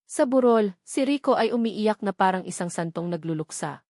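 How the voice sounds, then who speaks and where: A woman's voice: medium pitch, expressive, moderate pace, darkish tone, dry recording, studio quality. A woman reading a scripted love story as a dramatic narrator.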